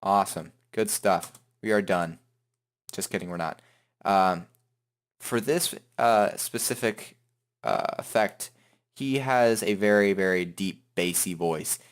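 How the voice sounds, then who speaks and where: A man hosting a casual, improvised software tutorial, talking in an informal, rambling way. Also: low voice, slow pace. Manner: informal, rambling, hums filler syllables while working. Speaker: tutorial host.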